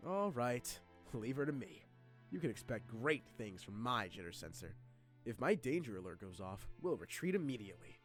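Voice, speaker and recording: A brash, excitable teenage boy hero, acted by a man in an anime fan dub. His mid-range voice swings widely in pitch, with an awkward, jokey cockiness and faint music behind. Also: normal speed, lightly acted. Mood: boastful. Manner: brash, jokey, dramatic outbursts.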